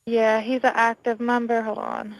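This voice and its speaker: A woman with a fairly high voice works as a dispatcher on a recorded call. She talks in a casual, businesslike way, with a dry sound. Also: little pitch movement, normal speed, dry recording, poor audio. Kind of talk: casual professional phone dispatch.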